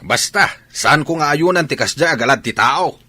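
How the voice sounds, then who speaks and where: A man's voice: a little high, expressive, moderate pace, pushed and bright, heavily acted. A man with an Ilocano accent playing a stern, scornful, disapproving father in a radio drama. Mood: stern, grumbling. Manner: indignant, scornful. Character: stern, disapproving father against his child's wedding.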